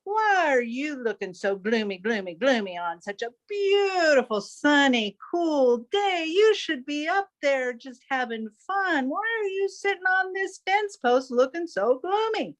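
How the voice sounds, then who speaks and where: A woman's voice: high voice, sing-song, measured pace, few pauses, pitched above the performer's own voice. A woman voicing a cheerful male chicken hawk in a folktale, bubbly and coaxing. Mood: cheery coaxing. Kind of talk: folktale telling.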